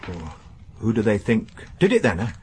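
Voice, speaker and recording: A gloomy, wary old-fashioned manservant. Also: male voice, lowish voice, sing-song, normal speed, darkish tone, slight background noise. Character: gloomy, deferential male manservant. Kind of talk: 1980s BBC radio drama acting.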